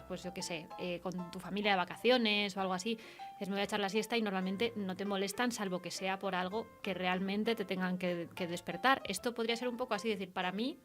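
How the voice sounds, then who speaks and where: A woman's voice: medium pitch, fairly bright tone. Woman w castilian accent chatting about stuff, thoughtful, explains things, faint music behind. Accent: Castilian Spanish. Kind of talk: casual literary conversation.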